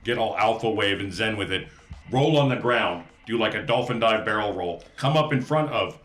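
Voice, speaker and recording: A man's voice: on the low side, expressive, moderate pace, a bit bright. A man playing a tabletop role-playing game, speaking casually and correcting himself as he goes. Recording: echoey room.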